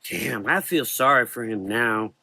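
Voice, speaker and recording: A man's voice: mid-range voice, animated, measured pace, bright, forceful tone, no room sound, full character voice. A man voicing a brash, sardonic Southern belle with a Southern US accent in a gothic horror audio drama. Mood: blunt, reproachful.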